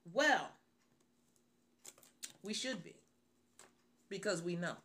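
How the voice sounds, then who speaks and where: Woman preacher, unhurried delivery, bright forceful tone, repeats herself, rhetorical questions. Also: medium pitch. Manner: prayerful then animated, repeats phrases, rhetorical questions. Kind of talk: radio sermon with prayer.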